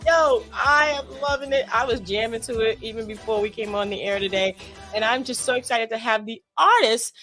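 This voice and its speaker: Woman, higher voice, gushing host, faint music behind. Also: brisk, a bit bright. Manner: enthusiastic, gushing. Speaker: show host, interviewer. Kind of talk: casual interview hosting.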